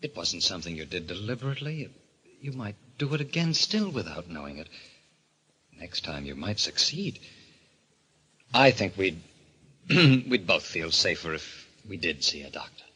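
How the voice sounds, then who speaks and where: A man's voice: low-pitched, big pitch swings, moderate pace, long pauses, bright, forceful tone, husky, exaggerated voice. An actor playing a solicitous, insistent husband alarmed by his wife, speaking urgently and patronizingly in 1940s radio thriller style. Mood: cautious concern. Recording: noisy vintage radio recording.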